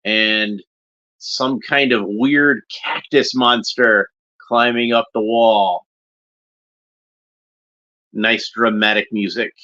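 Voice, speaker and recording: Male watchalong host: bright, forward voice, mid pitch, loose rambling commentary, slightly muffled home recording. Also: steady tone, slow, long pauses. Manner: rambling, unscripted, with short observational remarks. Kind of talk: casual off-the-cuff watchalong commentary.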